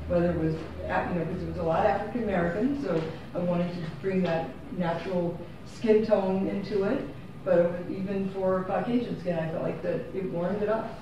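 A woman photographer chats through her work in a rambling, self-correcting way. She is picked up from across a small, very echoey gallery room with no microphone. Steady background noise sits under her voice. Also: lowish voice, distant mic, dull audio. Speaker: photographer giving a gallery talk. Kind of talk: casual unscripted talk. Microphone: far room camera, no microphone.

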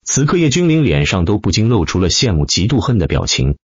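A man's voice: lowish voice, very animated, brisk, breathy edge, no room sound, muffled recording. A man narrating a web novel as an audiobook in a dramatic, emphatic, theatrical style.

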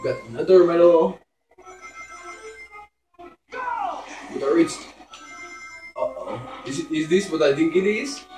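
A young man giving halting, improvised gameplay commentary as a YouTuber playing a video game. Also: a little high, wildly swooping pitch. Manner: halting, profane. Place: indoor room, recording a screen. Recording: echoey room, loud game music.

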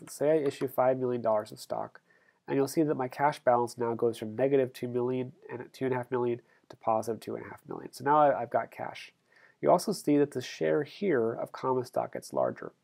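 A male instructor talks through a screencast at a steady middle pitch. His voice has a gravelly, creaky edge. He explains each step plainly and corrects himself when he slips. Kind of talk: screencast tutorial walkthrough. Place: voice-over on screen recording.

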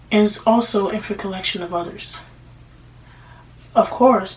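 A woman playing a grieving, lovestruck teenage girl in a halting, emotional dramatic eulogy monologue. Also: mid-range voice, darkish tone, natural voice. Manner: halting, emotional delivery with pauses. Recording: distant mic with faint room noise.

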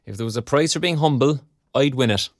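A man's voice: mid-range voice, fast talker, dry recording, studio quality, close to an everyday voice. A male actor playing a preoccupied young graduate in an audio drama, with an Irish accent and a hesitant, self-deprecating manner. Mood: wry. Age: young adult. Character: wry, preoccupied young arts graduate job-hunting. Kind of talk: naturalistic audio drama acting.

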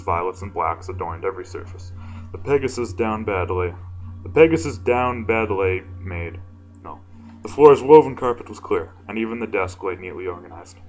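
A man with a deep voice reading fan fiction casually, informal and self-deprecating, stumbling now and then. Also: animated, brisk, darkish tone, faint music behind, rough recording. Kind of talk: casual amateur story reading with asides.

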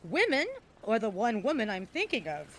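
A woman's voice: fairly high pitch, big pitch swings, normal speed, fairly bright tone. A woman playing a bold, teasing soapbox activist in a 1920s audio drama, slangy and declamatory. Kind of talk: period radio-drama acting.

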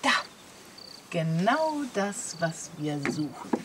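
An actress in a German radio play playing a bold, impulsive wife with a brisk, bossy manner. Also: female voice, lowish voice, sing-song, background noise. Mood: brisk, worried.

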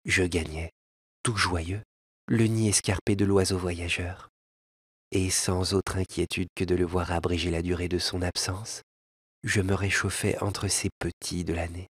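A gentle, lovelorn male elf pours out his sorrow in a soft, lyrical way, as a man reading a tale puts him on. His voice sits low and very breathy, close and dry, with a faint piano behind. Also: flat delivery, unhurried, no room sound. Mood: wistful.

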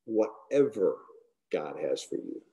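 Mellow-voiced middle-aged man, earnest devotional talk. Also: low-pitched, very animated. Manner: earnest, unhurried, trailing off softly at line ends. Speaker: guest speaker. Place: large hall. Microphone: camera close below the face.

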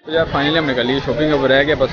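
A man's voice: mid-range voice, steady tone, fast, fairly bright tone, breathy edge, dry recording, highs cut off, faint music behind. A young man with a Lahori Pakistani accent chatting casually to viewers in a jokey, slangy, exclaiming way as he vlogs. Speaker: vlogger. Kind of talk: casual vlog chat, showing things to viewers. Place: outdoors in a busy street market.

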